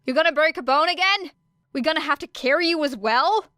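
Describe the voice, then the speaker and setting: A woman's voice: high-pitched, fairly quick, sharp tone, no room sound, clean studio sound, pitched above the performer's own voice. A woman voicing a foul-mouthed teenage girl fighter who talks in curt, taunting jabs.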